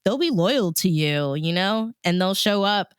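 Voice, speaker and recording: An American woman chatting casually in an interview, animated and informal, peppering her talk with "like" and "you know". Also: mid-range voice, measured pace, smooth, no echo, studio quality. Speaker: radio DJ and music supervisor, interview guest.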